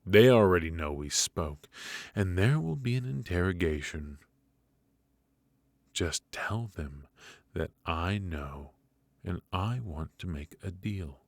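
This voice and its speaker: A man playing a smug, menacing mafia boss in an ASMR roleplay, courteous but ruthless, smooth-talking and teasing, with deliberate drawn-out pauses. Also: low voice, animated, no echo, heavily acted. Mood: coolly threatening.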